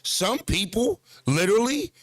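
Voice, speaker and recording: Dude in his 30s rambling and cussing after the gym, kinda high voice, dry close sound. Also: very animated, unhurried, dry recording. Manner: loose, rambling, profane, lots of "you know what I mean". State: just worked out at the gym. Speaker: battle rap commentator. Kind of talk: casual vlog talk to camera.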